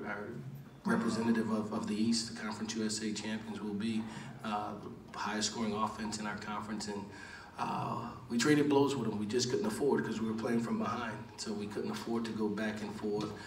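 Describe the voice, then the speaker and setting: Earnest coach in an echoey press room. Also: male voice, on the low side, far from the mic, a little hiss or hum. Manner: measured, earnest, many "uh" pauses and repeated words. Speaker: head football coach. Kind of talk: postgame press conference answers. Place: large press room with backdrop. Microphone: table mic, recorded from across the room.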